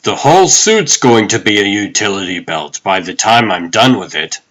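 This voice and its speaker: Casting: male actor as brooding comic-book crimefighter, lowish pitch, serious and sincere. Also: expressive, moderate pace, fairly bright tone. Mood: driven, hopeful. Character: brooding male vigilante hero, earnest and driven. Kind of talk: comic dub acting.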